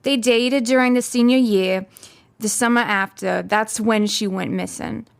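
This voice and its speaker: A woman's voice: fairly high pitch, fairly even intonation, a little slow, creaky. An actress playing a bitter, devout small-town mother in her sixties, blunt and cutting, in a faux-documentary audio drama. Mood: bitter, cold. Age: 60s. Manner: blunt, cutting, matter-of-fact.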